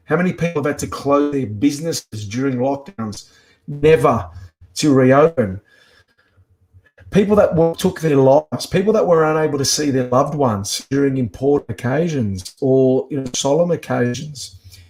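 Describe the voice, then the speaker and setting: An Australian man in his forties with a slightly croaky voice, giving an earnest, impassioned speech as a guest on a video-call live stream. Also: medium pitch, a little mellow, low-quality recording. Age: 40s. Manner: earnest, rising with emphasis. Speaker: guest speaker, activist.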